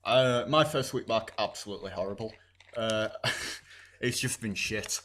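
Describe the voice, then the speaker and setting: A man's voice: on the low side, measured pace, fairly bright tone, a little hiss or hum. A teenage boy, co-hosting a podcast in casual chat, blunt and rambling with plenty of swearing. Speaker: podcast co-host.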